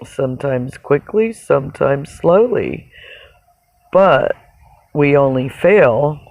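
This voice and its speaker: A person with a raspy voice talks in a casual, rambling livestream monologue, halting and reflective, often pausing mid-sentence. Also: medium pitch, animated, darkish tone. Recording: dull, narrow phone-like sound.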